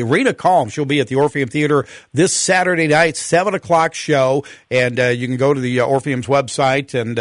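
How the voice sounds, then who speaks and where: A male morning radio host interviews a guest in a relaxed, easygoing way, his phrasing full of fillers and restarts. His voice sits at a middle pitch and sounds close and dry in the studio. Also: no echo.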